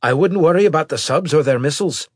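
A man voicing a brash, excitable male ace pilot in an audiobook, with cheeky, urgent military talk. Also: fairly high pitch, fast talker, no room sound, clean studio sound, pitched above the performer's own voice. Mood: brisk, confident. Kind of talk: audiobook character acting.

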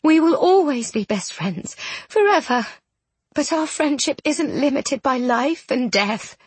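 A woman voicing a tender, grieving young woman in an audiobook, speaking in a hushed, emotional way. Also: sits high, measured pace, slightly rough, no room sound, pitched above the performer's own voice. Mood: tender, resolute. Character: tender, grieving young woman consoling her best friend. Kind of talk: audiobook character dialogue.

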